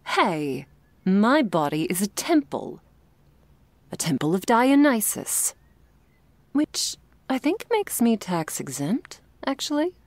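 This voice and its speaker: A woman playing an affectionate, wry girlfriend in an intimate ASMR roleplay, talking softly and teasingly to her sleepy partner. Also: a little high, very animated, slow pace, pauses often, dry recording. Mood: playful, animated. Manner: soft, teasing, drifting between whispers and playful chatter.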